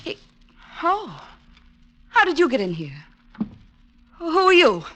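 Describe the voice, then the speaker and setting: A woman's voice: very high-pitched, big pitch swings, slow pace, exaggerated voice. A woman playing a spirited, possessive, well-to-do girlfriend of a detective in an old radio drama. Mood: frightened, defiant. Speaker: radio actor. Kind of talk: 1940s-50s radio drama acting. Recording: hissy old radio recording with clicks.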